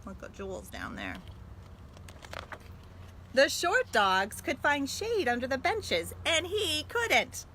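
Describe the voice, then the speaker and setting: American woman, librarian storytelling for kids, higher voice, very expressive swings, clearly noisy home recording. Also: slow pace, pauses often. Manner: animated, expressive delivery aimed at young children. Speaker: school librarian reading a picture book. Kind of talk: read-aloud storytelling for children. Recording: noisy home video with background sounds.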